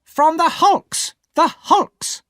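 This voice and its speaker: A man's voice: very high-pitched, drawn-out words, a bit bright, no echo, clean studio sound, pitched above the performer's own voice, full character voice. A man voicing a bad-tempered older woman who scolds sharply and impatiently. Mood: snapping.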